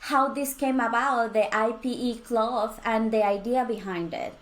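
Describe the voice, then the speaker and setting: A woman's voice: on the high side, steady tone, measured pace. A woman hosting a podcast interview, effusive and gushing, with frequent laughs. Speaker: podcast host. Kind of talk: conversational interview.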